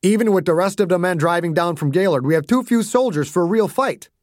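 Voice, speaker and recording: Narrator doing army general guy, careful, reluctant, pitched up a bit, politely arguing back, audiobook. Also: fast talker, darkish tone, no echo, studio quality, close to an everyday voice. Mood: reasoning. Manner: reasoning, objecting, deferential. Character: cautious adult male army general, reluctant subordinate.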